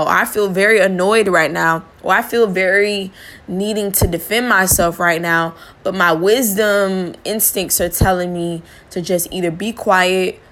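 A woman's voice: on the low side, a bit bright. A young woman talking candidly and reflectively about listening and relationships, in a casual, earnest way. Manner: casual speech with fillers and restarts. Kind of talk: reflective personal talk.

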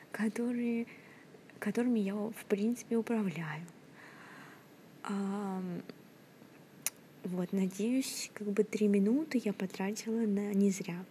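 A young woman muses into her phone from her workplace, drifting between normal speech and a half-whisper as she hesitates and restarts. Her voice is soft and mellow at a middling pitch. A faint low rumble sits underneath. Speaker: podcast host. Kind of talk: casual rambling monologue.

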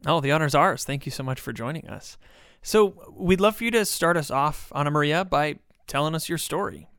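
Male podcast host, crisp and resonant, close dry sound, presenting and interviewing. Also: on the high side, fairly quick, a bit bright, no room sound. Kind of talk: scripted podcast introduction and interview questions.

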